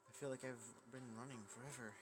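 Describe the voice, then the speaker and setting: A man reading a visual novel aloud as dramatic narration, casual and prone to stumbling over lines. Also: medium pitch. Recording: loud music under the voice. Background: game music.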